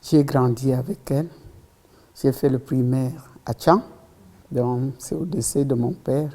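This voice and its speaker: A woman's voice: a bass voice, unhurried, mellow, big-room sound. A woman in her seventies with a Cameroonian accent, recalling her life in an interview. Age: 70s. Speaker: interview guest, school founder. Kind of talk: personal recollection in an interview.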